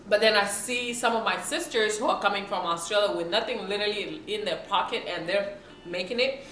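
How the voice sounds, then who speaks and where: A woman's voice: mid-range voice, a little fast, fairly bright tone, a little hiss or hum. A young woman from South Sudan chatting casually to camera as a vlogger while she eats, often checking in with her viewers. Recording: roomy room with faint background music.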